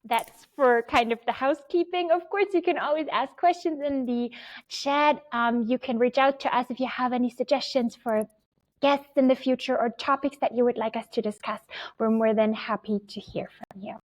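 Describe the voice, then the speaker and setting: A bubbly woman hosts a live tech podcast with a slightly high voice. She talks in a chatty, easy way and laughs often. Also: moderate pace, rough recording. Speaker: podcast host. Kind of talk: casual live-stream chat.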